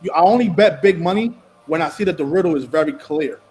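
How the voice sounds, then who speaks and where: A man's voice: fairly high pitch, quick pace, a little mellow, a little hiss or hum. A man arguing in a live debate, challenging others and often stammering over repeated words.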